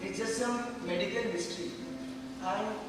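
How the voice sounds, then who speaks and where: A man's voice: sits high, normal speed, loud music behind the voice, close to an everyday voice. A man acting on an amateur stage as an awkward, guilt-ridden young friend, speaking hesitantly and self-consciously. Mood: uneasy. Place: stage in a large hall. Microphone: distant microphone. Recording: echoey hall from far off, noisy.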